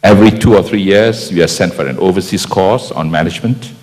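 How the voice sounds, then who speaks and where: A man's voice: sits low, fairly quick, a little mellow. An older man from Malaysia giving a rambling, anecdotal conference speech with many fillers and restarts. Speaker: keynote speaker. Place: large convention hall. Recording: long hall reverb.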